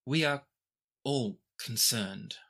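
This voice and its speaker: A man voicing a gracious, formal princess in a tabletop game, speaking with measured, courtly diction. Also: medium pitch, gravelly, sharp tone, full character voice. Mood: grave, concerned. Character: formal, gracious young royal princess, concerned for her brother.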